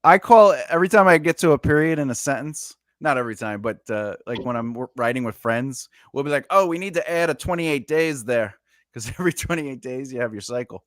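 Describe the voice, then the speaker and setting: A man's voice: mid-range voice, brisk, dry recording, studio quality. A man chatting casually on a livestream, explaining things at length and cracking jokes. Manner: animated, explains at length, jokey.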